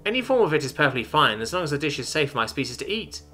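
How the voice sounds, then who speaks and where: A man's voice: a little high, very animated, racing, bright, forceful tone, soft background music, voice pushed up. A man voicing an eager, courteous big-eyed female alien with a polite, delighted manner in a story. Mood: excited, polite.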